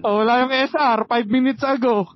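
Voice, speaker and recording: A man with a Filipino accent bantering and commentating casually over a motorcycle intercom, excitable, repetitive and foul-mouthed. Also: very high voice, moderate pace. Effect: helmet intercom. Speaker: motorcycle rider in a riding vlog. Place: outdoors on a moving motorcycle. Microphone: helmet intercom microphone. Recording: muffled helmet intercom with road noise. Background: faint engine and road noise.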